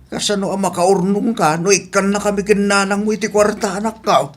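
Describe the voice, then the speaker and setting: A woman's voice: mid-range voice, level tone, brisk, lightly acted. A woman voicing a troubled, downcast middle-aged father in a radio drama, sighing and resigned. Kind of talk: amateur radio drama acting.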